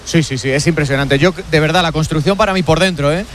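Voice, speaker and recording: Fast, enthusiastic man at a stadium. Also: on the high side, slight background noise. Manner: enthusiastic, piling up examples. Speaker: match commentator. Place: inside a large football stadium.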